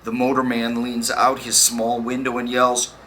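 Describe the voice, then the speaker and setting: A man reading poems aloud with deliberate, dramatic phrasing and pauses. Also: mid-range voice, monotone, sharp tone. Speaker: poetry reader. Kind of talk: spoken poetry reading. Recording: faint background noise.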